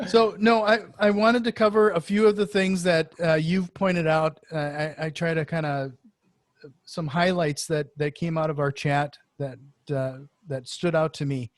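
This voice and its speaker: A man hosting a conversational podcast interview, talking in a wordy, halting way with repeated words. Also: fairly high pitch, fairly even intonation, no room sound, clean studio sound. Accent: Norwegian-influenced. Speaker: interview show host.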